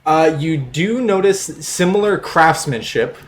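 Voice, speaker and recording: A man's voice: fairly high pitch, lively intonation, unhurried, a little hiss or hum. Rambling man running a tabletop game. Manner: rambling, many fillers and restarts. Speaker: game master. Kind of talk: narrating a tabletop game over a voice call. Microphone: headset over a voice call.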